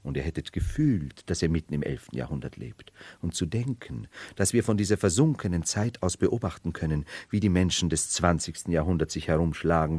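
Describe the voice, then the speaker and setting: Radio drama for a brooding, supposedly mad emperor: low male voice, mercurial theatrical delivery, dry close sound. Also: darkish tone, no echo. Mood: scornful, intense. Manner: theatrical, mercurial, dwelling on words then bursting out. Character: brooding nobleman feigning madness as a medieval emperor. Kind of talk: 1970s German radio play acting.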